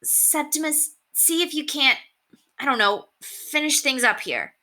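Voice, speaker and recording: Woman as an earnest boy, very bright voice. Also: high-pitched, animated, pitched above the performer's own voice, heavily acted. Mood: sheepish. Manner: halting, casual youthful phrasing. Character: earnest, hesitant, polite boy.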